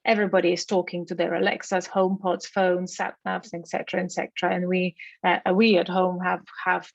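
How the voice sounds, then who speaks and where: A woman's voice: fairly low pitch, flat delivery, a little fast, creaky, no echo. A woman delivers an academic keynote in English with a Slovenian accent, her native language being Slovenian. She explains things step by step and poses questions to lead the listener along. The sound is dry and a little muffled, with limited fidelity. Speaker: keynote speaker, AI researcher.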